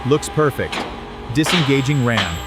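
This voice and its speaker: Mid-pitched man over loud guitar music. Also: expressive, measured pace. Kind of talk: scripted narration and technical callouts.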